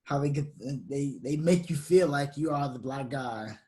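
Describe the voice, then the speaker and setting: A man's voice: fairly high pitch, moderate pace, dark tone. Agreeable male podcast host, a bit distant. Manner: agreeing, brief backchannels. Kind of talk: casual conversation.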